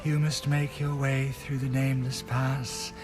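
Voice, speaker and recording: Formal herald guy man acting, solemn and slow, fantasy game, music loud behind. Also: mid-range voice, flat delivery, close to an everyday voice. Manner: formal, measured. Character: solemn male messenger giving formal summons.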